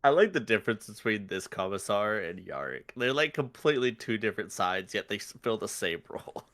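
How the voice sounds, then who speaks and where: A man's voice: lowish voice, expressive, brisk, a bit bright. A man co-hosting a podcast in casual, conversational chat. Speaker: podcast co-host.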